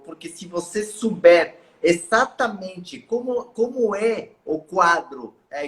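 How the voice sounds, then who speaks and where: A man with a notably high voice speaks as a guest author, telling his own story. He talks earnestly and motivationally, restarting phrases now and then. Portuguese is not his first language; he grew up speaking Spanish. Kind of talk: storytelling in a live interview.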